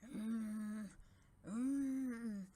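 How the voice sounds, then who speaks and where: Old dragon king for a storybook, acted by a woman: mild, fretful, ponderous, unhurried delivery. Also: on the high side, steady tone, warm tone. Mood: contented. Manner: pondering, hemming and humming. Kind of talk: children's storybook character voice.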